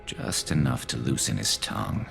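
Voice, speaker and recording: A man playing a grim, vengeful alien ex-cop turned vigilante in a video game, with a gravelly voice and terse, menacing delivery. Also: a bass voice, lively intonation, normal speed, fairly bright tone, soft background music, full character voice. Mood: menacing, tense. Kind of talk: video game character acting.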